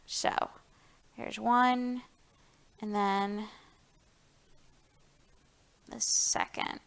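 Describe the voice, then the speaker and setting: A woman's voice: mid-range voice, fairly even intonation, extremely slow, creaky, fairly bright tone, clear, resonant tone. A woman hosting a children's storytime, reading aloud and explaining things in an encouraging way, speaking directly to young listeners. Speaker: library storytime host.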